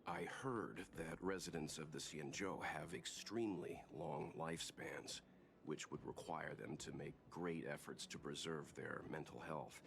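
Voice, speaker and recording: Scholarly male game character, very deep voice, measured, analytical, composed, lecturing. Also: creaky, background noise, full character voice. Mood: calm, analytical. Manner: measured, erudite, matter-of-fact. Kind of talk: video game voice acting.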